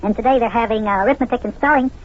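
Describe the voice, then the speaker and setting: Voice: medium pitch, racing, natural voice. An actor voicing an eager, chatty little boy elf with a chirpy, polite manner in an old children's radio serial. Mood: eager, matter-of-fact. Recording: muffled, lo-fi old radio recording.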